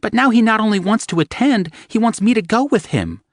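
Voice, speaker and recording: A man's voice: sing-song, a little slow, no room sound, studio quality. A man voicing an anxious, tearful young woman who talks in a pleading, strained way. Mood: panicked. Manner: pleading, breathless, high and strained.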